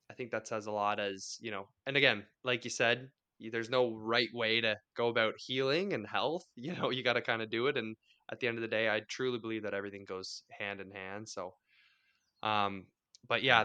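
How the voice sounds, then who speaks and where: A man hosting a podcast, talking in a relaxed, reflective and friendly way. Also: on the low side, fairly quick, clear, resonant tone. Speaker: podcast host. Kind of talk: casual podcast conversation.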